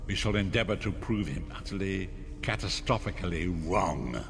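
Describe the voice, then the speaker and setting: A man playing a solemn, resolute leader in a fantasy game, speaking in a grave, measured, formal way. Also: low-pitched, expressive, vocal fry. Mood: solemn resolve. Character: solemn, resolute older male leader preparing heroes for battle. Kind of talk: dramatic fantasy game voice acting. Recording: long cavernous reverb under loud music.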